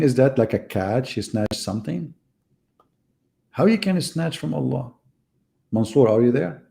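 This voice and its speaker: Gravelly, mocking man on a live debate. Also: medium pitch, warm tone. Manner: mocking, sarcastic rhetorical questions. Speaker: debate host. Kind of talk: live online debate monologue.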